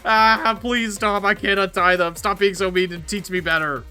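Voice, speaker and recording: Dude doing whiny scared robber guy, pleading, flustered, high n bright voice. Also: lively intonation, normal speed, soft background music. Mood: panicked, whiny.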